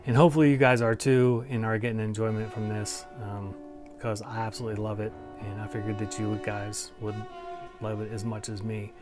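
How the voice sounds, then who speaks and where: Casual male reviewer, fairly even intonation. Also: fairly low pitch, brisk. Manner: informal, enthusiastic fan talk. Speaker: collectibles review host. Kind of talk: casual chat to viewers. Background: music.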